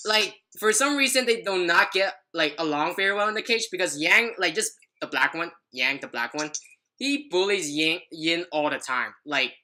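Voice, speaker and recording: Young woman podcaster, low bright voice, rambly casual storytelling, trips over words. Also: lively intonation, moderate pace. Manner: rambling, filler-heavy, stumbling over words. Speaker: podcast co-host telling a personal story.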